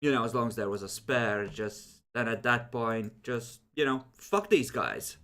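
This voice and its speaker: A man's voice: medium pitch, animated, unhurried, pushed and bright, slight background noise. A man talking casually and wryly as a player building his character for a tabletop role-playing game, swearing now and then.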